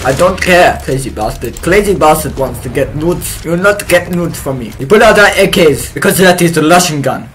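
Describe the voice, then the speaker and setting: A man's voice: fairly high pitch, normal speed, few pauses, slight background noise. Young man in a joking mock accent. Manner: joking, exaggerated put-on foreign accent, broken grammar; loud excited yelps. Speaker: streamer. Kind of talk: gameplay commentary in a mock accent.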